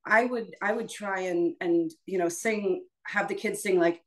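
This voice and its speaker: A woman's voice: mid-range voice, steady tone, unhurried, rough recording. A woman leading a choral workshop as a music teacher, explaining informally and conversationally, often checking in with her listeners.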